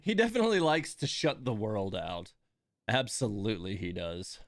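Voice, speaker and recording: A man's voice: lowish voice, sing-song, normal speed, no room sound. Dry-sounding man chatting as a livestream host. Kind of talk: casual live commentary reacting to game voice lines.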